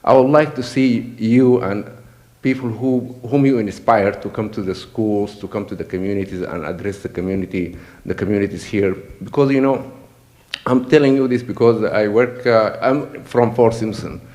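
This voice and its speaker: Sudan-born man, low voice, earnest audience comment. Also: normal speed, a little mellow. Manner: earnest, repeats and restarts words. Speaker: audience member at an assembly. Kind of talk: public comment at a meeting.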